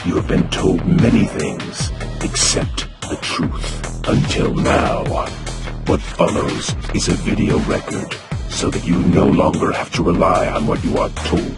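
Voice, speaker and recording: A grim vigilante, super low gravelly rasp, slow menacing trailer speech, loud music under it. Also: male voice, heavily acted. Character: grim masked male vigilante delivering a manifesto. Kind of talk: scripted dramatic film trailer monologue.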